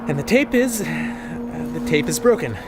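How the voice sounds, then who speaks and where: A man acts out a frazzled male archivist in an audio horror drama. His fairly high, lively voice mutters and swears to himself anxiously, with loud music underneath. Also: moderate pace, natural voice. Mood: flustered, relieved.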